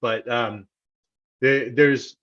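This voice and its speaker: A man's voice: mid-range voice, steady tone, drawn-out words, muffled recording. An American man from Texas talking casually as an interview guest, often repeating words as he thinks aloud. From Texas, United States.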